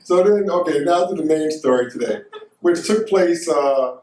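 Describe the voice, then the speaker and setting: A man's voice: a little high, moderate pace, warm tone. Casual American male storyteller, middle-aged, recorded in an echoey room at some distance from the mic. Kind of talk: casual first-person anecdote telling.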